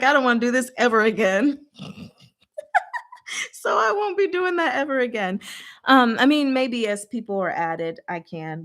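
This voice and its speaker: A woman hosting a book discussion livestream, chatting casually with viewers in an animated, informal, playfully exasperated way. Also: medium pitch. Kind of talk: casual live chat with viewers.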